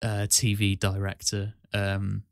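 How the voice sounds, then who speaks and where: A man with a fairly low voice talks casually on a podcast. His delivery is quite flat and even, with halting explanations, and the sound is dry and close. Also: gravelly, no room sound. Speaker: podcast host and amateur voice actor.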